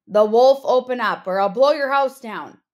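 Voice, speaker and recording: Lady doing a big bad hungry wolf from a kids storybook, loud n bossy, kinda menacing. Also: on the high side, normal speed, natural voice. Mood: loud, threatening. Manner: loud, demanding. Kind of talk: children's storybook read-aloud.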